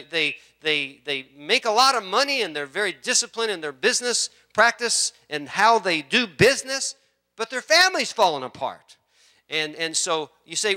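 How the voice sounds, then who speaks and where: A pastor in his fifties preaches from the pulpit, his voice a touch high for a man. His tone is bright and forceful. He hammers single words again and again and builds to fervent urging. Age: 50s. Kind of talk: sermon. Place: church sanctuary.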